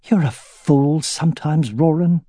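A man voicing a young wife who comforts her husband gently but firmly. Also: fairly high pitch, measured pace, dry recording, pitched above the performer's own voice. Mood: exasperated. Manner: gentle, reassuring.

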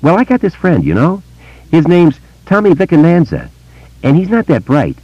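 A man voicing a curious, excitable small-town boy who talks in a quick, slangy way. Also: on the high side, breathy edge, dry recording, voice pushed up, exaggerated voice. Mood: excited. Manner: breathless, excitable, slangy kid talk. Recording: dull lo-fi dry recording.

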